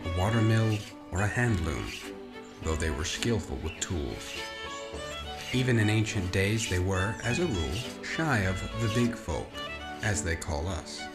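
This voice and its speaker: Deep-ish guy reading a fantasy audiobook, pitch moves around a lot, music playing behind him. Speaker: audiobook narrator. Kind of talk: scripted storybook narration. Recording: roomy echo under orchestral music. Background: orchestral music.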